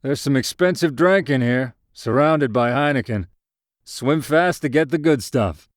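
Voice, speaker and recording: Male narrator as commanding male race organizer in an audio short story. Loud, brisk, lifted pitch, dry sound. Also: no room sound, natural voice. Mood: rallying. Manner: loud, announcing. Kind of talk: acted short story dialogue.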